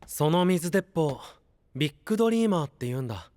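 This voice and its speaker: An actor playing a kind, easygoing young knight in an anime voice drama, talking in soft, casual, friendly phrases. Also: male voice, a little high, big pitch swings, fairly quick. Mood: gentle, reassuring. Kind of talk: Japanese anime voice drama acting. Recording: clear music under the voice.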